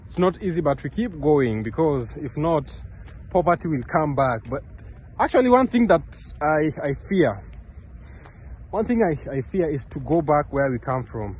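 Young man from Kenya, earnest vlog talk, mid-high voice, walking outdoors by a road, faint traffic behind. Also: big pitch swings, normal speed, darkish tone, low-quality recording. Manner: earnest, rambling, with many restarts and fillers. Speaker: vlogger. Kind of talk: casual vlog talk to camera. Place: outdoors on a park path beside a road. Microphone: phone camera held close.

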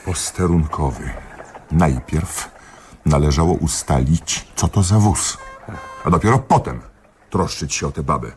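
A man's voice: a bass voice, animated, unhurried, a little hiss or hum. A man acting in a radio drama as a stern, bossy, lecturing police commissioner who is exasperated and pedantic. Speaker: actor in radio play.

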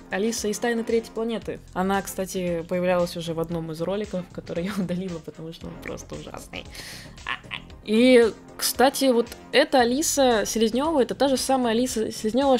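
A young woman artist chatting casually in a vlog, quick and self-deprecating, recorded at home at her computer desk. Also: on the low side, a little mellow. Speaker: artist and video blogger. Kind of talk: casual vlog chat while drawing. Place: home room with computer setup.

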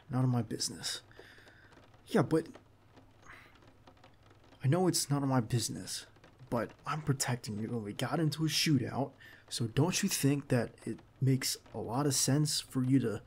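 A man acting a tense, loyal, protective bodyguard in an ASMR roleplay, speaking in a halting, earnest and reassuring way. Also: mid-range voice, slightly rough.

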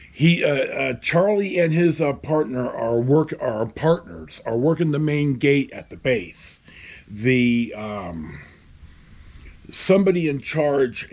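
A man in his fifties hosting a casual storytime to camera, with a halting, wry delivery. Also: mid-range voice, a little mellow. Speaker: host. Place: covered outdoor patio at a house.

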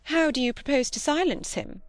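A woman's voice: high-pitched, expressive, moderate pace, no room sound, voice pushed up. A woman voicing a prim, moralizing older spinster cousin, emphatic and plaintive, in an audiobook. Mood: prim, insistent. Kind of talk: audiobook character acting.

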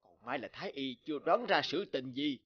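A man's voice: mid-range voice, animated, fairly quick, highs cut off, natural voice. Furtive plotting court sorcerer acted by a man. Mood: worried. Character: shifty, nervous plotting male court sorcerer. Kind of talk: cartoon fairy-tale dub. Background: background music.

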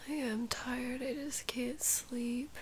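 A woman playing a sick, sleepy, sweet and whiny girlfriend in an intimate ASMR roleplay, breathy and often dropping into a whisper, with drowsy sighs. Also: high-pitched, fairly even intonation, a little hiss or hum. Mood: drowsy, wistful. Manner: drowsy, drawn-out, sighing, intimate.

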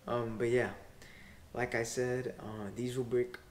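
A man's voice: medium pitch, flat delivery, unhurried, a little hiss or hum. A young man, a sneaker resale vlogger, talking casually to camera with loose slang and lots of "like" and "bro", seated in a small room. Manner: laid-back slang, fillers like "like" and "bro". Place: small indoor room in front of window blinds.

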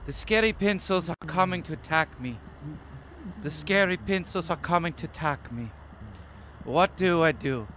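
A man's voice: fairly high pitch, expressive, moderate pace, a bit bright. A man voicing a self-pitying, obsessive game designer who whines and repeats himself in broken English. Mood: insistent, excited. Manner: whining, repetitive, mangled grammar. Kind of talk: improvised comedic game acting. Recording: noisy cassette-tape recording.

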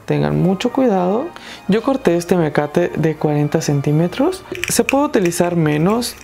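A woman's voice: lowish voice, animated, moderate pace, gravelly, mellow, faint music behind. A young woman with a Mexican Spanish accent hosting a craft tutorial, chatting and encouraging viewers as she works. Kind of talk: casual DIY tutorial talk.

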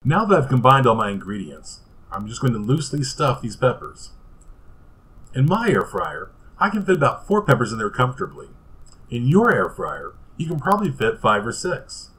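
Male home-cooking host, middle pitch, easygoing and chatty, small kitchen. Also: moderate pace, poor audio. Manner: relaxed, chatty, with fillers and restarts. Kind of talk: casual cooking demonstration.

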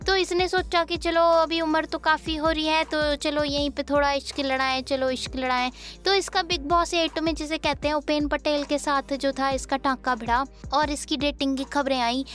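Brief: woman gossip host, high bright voice, cheeky and teasing. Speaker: gossip show voice-over host. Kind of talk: teasing celebrity gossip chat.

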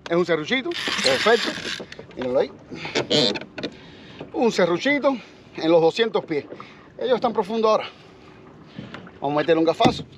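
A middle-aged man giving casual, excited fishing commentary, exclaiming and swearing casually as he talks to his viewers from a kayak. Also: high voice, normal speed, long pauses, highs cut off. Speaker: fishing channel host. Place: outdoors in a kayak on open sea. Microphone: action camera mounted on the kayak. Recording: loud wind and water noise.

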